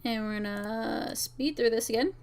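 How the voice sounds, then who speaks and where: A woman's voice: fairly high pitch, moderate pace, gravelly, clean tone. A woman livestreaming casually, thinking aloud and muttering to herself as she tests her own game. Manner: muttering to herself, reading out lines as she works. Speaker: VTuber streamer and game maker. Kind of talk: casual livestream, thinking aloud while testing a visual novel.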